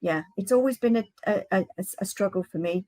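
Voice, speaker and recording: A woman speaking as a guest in a panel discussion, hesitant and halting, with many restarts. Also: medium pitch, darkish tone, dry recording. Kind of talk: casual discussion.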